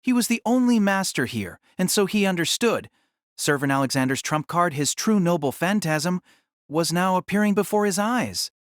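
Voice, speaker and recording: A man delivers scripted fantasy narration with theatrical suspense. His intonation is very animated, on a slightly raised pitch, and the recording is dry and close. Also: moderate pace, dry recording. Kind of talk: dramatic scripted story narration.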